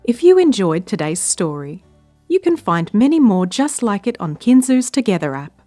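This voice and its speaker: A woman reading a picture book aloud to young children as a story-time host, in a measured, expressive storytelling manner. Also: medium pitch, dry recording. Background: music behind the voice.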